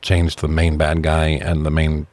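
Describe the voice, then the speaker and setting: A man's voice: extremely low pitch, completely flat delivery, unhurried, a little mellow. A man co-hosting a casual film review chat, talking informally and swearing freely. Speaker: podcast co-host reviewing films.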